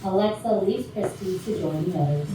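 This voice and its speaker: A woman's voice: mid-range voice, normal speed. A woman reading out stage directions as scripted narration at a play rehearsal. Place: indoor rehearsal room. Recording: very echoey rehearsal room, distant mic, noisy.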